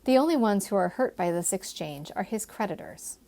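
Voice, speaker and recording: Woman reading as a confident, teasing gentleman schemer. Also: medium pitch, animated, fairly quick, vocal fry. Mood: gentle, reassuring. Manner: persuasive, playful, formal phrasing.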